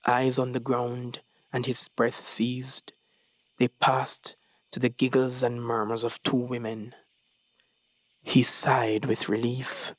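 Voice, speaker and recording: Slow, measured male story narrator. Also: mid-range voice, monotone, no room sound, low-quality recording. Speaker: story reader. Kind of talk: scripted dramatic narration of a short story.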